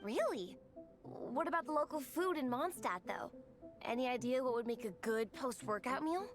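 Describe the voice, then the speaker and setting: Game role: eager young girl trainee, earnest, high voice with lively swings, music behind. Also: moderate pace. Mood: surprised. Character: determined, slightly insecure young girl in training. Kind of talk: video game character acting.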